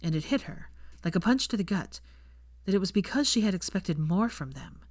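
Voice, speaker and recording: Woman reading an audiobook story, lowish voice, lots of pitch movement, very dry sound. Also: sing-song, a little fast, darkish tone, no echo. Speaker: fan fiction audiobook narrator. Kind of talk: scripted story narration.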